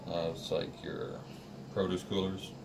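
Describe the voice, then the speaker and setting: A man's voice: low voice, flat delivery, a little slow, gravelly. A man giving an informal, practical step-by-step walkthrough of equipment, as an installer would to staff. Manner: plain, practical, explaining step by step. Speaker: installer explaining a system to staff. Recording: noisy room, distant microphone.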